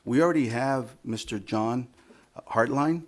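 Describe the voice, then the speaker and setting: A man chairing a school board meeting, reading agenda items aloud in a halting way. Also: mid-range voice.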